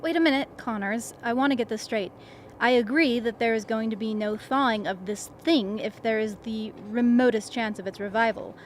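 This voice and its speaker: A woman's voice: a little high, normal speed, a little hiss or hum, natural voice. A woman playing a cautious, reasoning expedition member in a radio drama, precise and formal. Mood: concerned.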